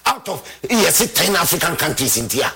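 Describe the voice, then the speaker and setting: A Ghanaian man rants with a heated, scornful edge, circling back to the same words again and again. His voice sits high and sounds bright and sharp. Underneath it is very husky and raspy. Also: lively intonation, moderate pace. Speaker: football fan commentator. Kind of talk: angry rant.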